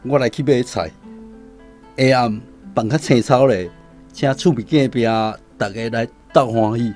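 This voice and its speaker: A man's voice: mid-range voice, measured pace, darkish tone, soft background music, lightly acted. An actor with a Taiwanese accent playing a kindly, hospitable elderly village father in a radio drama. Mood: pleased.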